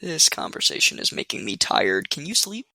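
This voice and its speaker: A man's voice: fairly low pitch, wildly swooping pitch, moderate pace, creaky, pushed and bright, slightly rough, full character voice. An actor playing a wry young man with dry, teasing humor who looks after his sick date in a radio play.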